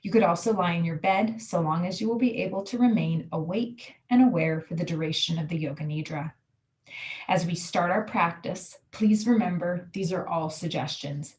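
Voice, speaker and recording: Low-pitched woman guiding relaxation. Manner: calm, soothing, measured instruction. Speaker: yoga nidra guide. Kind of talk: guided relaxation meditation.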